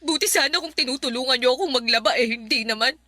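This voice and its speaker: A woman's voice: high voice, fast, bright, forceful tone, dry recording. An actress playing a defiant, aggrieved young live-in girlfriend in a Filipino radio drama, whiny and argumentative. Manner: whiny, argumentative, talks back. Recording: music bed behind the voice.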